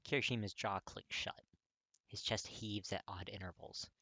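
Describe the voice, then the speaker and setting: A man reading fiction aloud as an expressive audiobook narrator. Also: fairly low pitch, moderate pace, vocal fry, no room sound. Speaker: podfic narrator.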